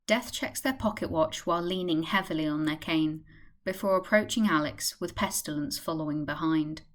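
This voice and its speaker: A woman with a fairly low voice narrates a radio play. Her delivery is scripted, describing the action as a storyteller. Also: normal speed.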